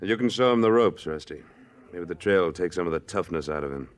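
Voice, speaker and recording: An actor playing a tough, terse, commanding trail boss in an old-time radio Western. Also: male voice, low-pitched, expressive, quick pace. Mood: brisk, commanding.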